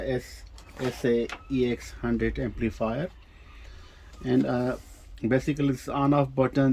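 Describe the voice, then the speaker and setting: A man giving a casual, hands-on product demonstration, explaining things informally in a halting, hesitant way. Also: medium pitch, a little mellow. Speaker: presenter of a vintage audio gear demo.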